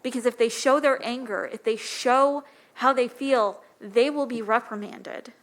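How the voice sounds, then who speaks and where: A woman with a light, soft-spoken voice pitched a little high speaks earnestly at a council meeting. She talks into a podium microphone in a council chamber. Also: a little slow, rough recording. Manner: earnest, impassioned. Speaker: public commenter. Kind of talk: public comment at council meeting.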